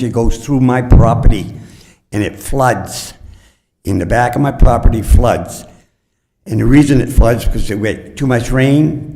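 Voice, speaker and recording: A man's voice: mid-range voice, warm tone. Older man, plainspoken and earnest. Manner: plainspoken and earnest, a bit rambling. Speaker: resident giving public comment. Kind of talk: unscripted public comment. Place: city council chambers.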